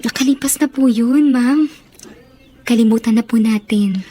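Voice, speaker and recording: Humble, mellow-voiced Filipina helper. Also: female voice, fairly high pitch, a little slow. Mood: humble, moved. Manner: deferential, modest. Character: humble, forgiving, respectful younger woman helper. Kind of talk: Filipino radio drama acting.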